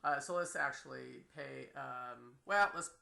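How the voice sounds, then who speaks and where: A woman giving an unscripted, enthusiastic screen-share software tutorial as a teacher, with a creaky voice. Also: extremely low pitch, a little slow. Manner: enthusiastic, exclaims in delight.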